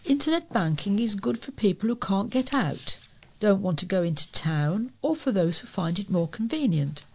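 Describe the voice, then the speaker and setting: A woman's voice: mid-range voice, expressive, normal speed, a little mellow, slightly rough. A woman with a British accent reading letters and articles aloud as a volunteer newsreader. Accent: British English.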